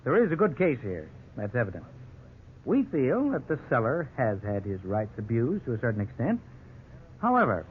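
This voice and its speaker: A man's voice: mid-range voice, close to an everyday voice. Male actor for American attorney, 1950s radio drama: diplomatic, formal, widely swinging intonation, narrow vintage broadcast sound. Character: measured, courteous male attorney negotiating for clients. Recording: tinny, noisy 1950s radio recording.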